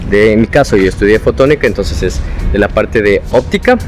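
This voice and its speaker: A man's voice: on the low side, fairly quick, faint music behind. A young man with an Ecuadorian Spanish accent, talking casually and explanatorily as a vlogger giving advice from his own experience. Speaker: vlogger, former international student.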